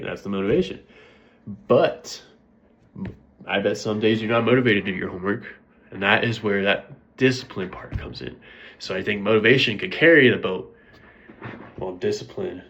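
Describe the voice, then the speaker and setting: Stuffy-nosed guy, lowish voice, chatty pep talk to viewers on a muffled recording. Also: normal speed, vocal fry, pauses often, a bit bright. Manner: conversational, keeps asking "right?". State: congested. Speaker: vlogger. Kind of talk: casual motivational talk to camera.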